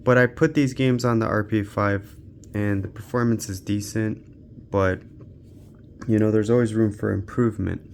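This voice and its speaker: A man's voice: lowish voice, fairly even intonation, normal speed, creaky, slight background noise. A man giving casual, unscripted gaming commentary as a channel host, thinking aloud in a laid-back way.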